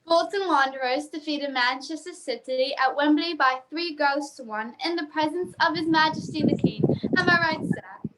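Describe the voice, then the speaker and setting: Woman playing a very high-voiced stage memory marvel. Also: moderate pace, a bit bright, low-quality recording. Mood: confident, formal. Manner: formal, precise, showy. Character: poised, formal stage memory marvel answering audience questions. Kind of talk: radio play acting, stage act patter. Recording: video call with faint noise, clicks.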